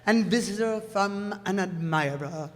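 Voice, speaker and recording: A man playing a conceited, vain man who craves admiration, pompous and preening, in a radio play. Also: high voice. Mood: self-important. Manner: pompous, preening, drawn-out delivery. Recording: echoey hall, long reverb.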